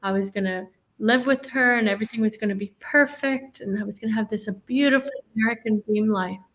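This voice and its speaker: A woman's voice: mid-range voice, fairly quick, a bit bright. A woman from Ireland, telling her life story in an earnest, reflective way as an interview guest. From Northern Ireland.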